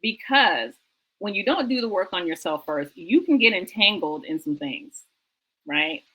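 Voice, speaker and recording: A woman's voice: mid-range voice, sing-song, unhurried, a bit bright, slightly rough, poor audio. A woman hosting a book talk show, speaking conversationally and enthusiastically in an emphatic, exhorting way that repeats phrases for effect.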